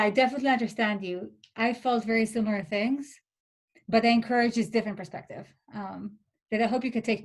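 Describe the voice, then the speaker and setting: A woman whose first language is not English, a graduate instructor giving reassuring, chatty advice over a video call, with lots of "like" fillers. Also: mid-range voice. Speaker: graduate student instructor leading a workshop. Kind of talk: casual advice in an online workshop.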